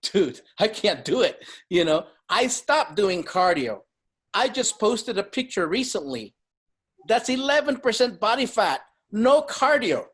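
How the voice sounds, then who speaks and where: A man in his fifties hosting a casual fitness panel, emphatic and quick to laugh. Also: high-pitched, slightly rough. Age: 50s. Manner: animated, emphatic, laughs often. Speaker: host.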